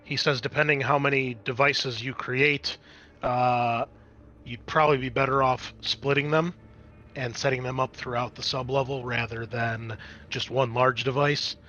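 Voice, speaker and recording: A man's voice: mid-range voice, steady tone, unhurried, sharp tone, highs cut off. A man acting as game master, explaining the scenario and rules of a tabletop roleplaying game in a conversational, improvised way.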